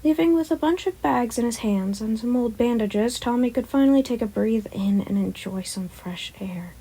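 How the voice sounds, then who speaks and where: Woman narrating a fanfic, expressive amateur audiobook kinda reading, normal pitched voice. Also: normal speed, breathy edge, rough recording. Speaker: fan fiction narrator.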